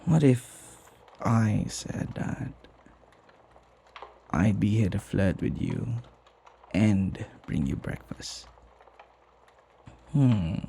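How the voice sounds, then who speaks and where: A flirty, lovestruck butler acted by a man for an ASMR roleplay. His voice is husky and mellow, with very unhurried pacing. He speaks tenderly, teasing and hesitating as he dotes on his beloved master. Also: fairly low pitch, big pitch swings, full character voice. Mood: coaxing, fond. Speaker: voice actor.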